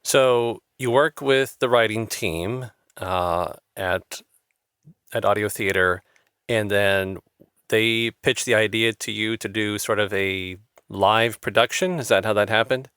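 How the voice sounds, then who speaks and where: A smooth, low-voiced male interviewer. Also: takes it slowly, a bit bright, dry recording, clean studio sound. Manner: affirming, with filler-laden questions. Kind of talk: casual interview.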